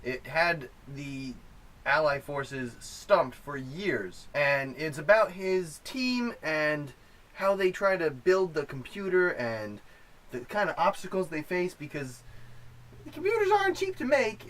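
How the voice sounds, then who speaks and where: A man's voice: high voice, a bit bright, poor audio. A man co-hosting a film review show in a casual, conversational style. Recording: faint room noise.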